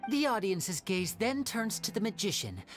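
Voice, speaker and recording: A man voicing a young stage magician in a video game cutscene, explaining a trick in a smooth, theatrical storyteller's manner. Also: high voice, big pitch swings, normal speed. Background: game soundtrack music.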